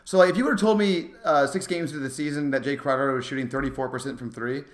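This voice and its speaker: A man's voice: mid-range voice, fairly even intonation, fast. A man co-hosting a sports podcast, talking basketball in a casual, conversational style.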